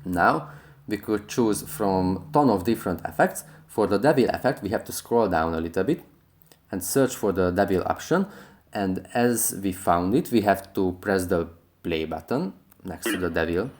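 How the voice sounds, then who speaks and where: A man's voice: low-pitched, lively intonation, normal speed. A man hosting a how-to tutorial, narrating step-by-step instructions. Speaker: tutorial host.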